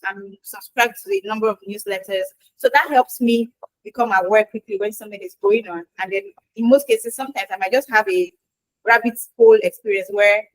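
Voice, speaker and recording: A woman, a Yoruba speaker, answering interview questions in a lively, chatty way with acted-out quotes. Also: a little high, no room sound, rough recording. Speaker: guest expert in quality assurance.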